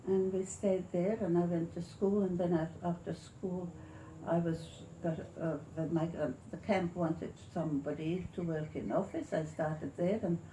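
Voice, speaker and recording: A woman in her eighties with a Latvian accent, recalling her life in an interview, haltingly and searching for words. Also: lowish voice, steady tone, warm tone. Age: 80s. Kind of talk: oral history reminiscence. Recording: echoey room with faint noise.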